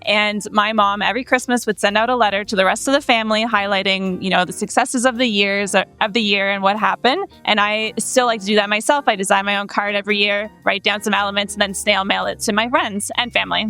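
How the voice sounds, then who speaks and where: Woman from near Ottawa, quick, bright and casual, music bed. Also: medium pitch, steady tone. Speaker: guest sharing a tradition.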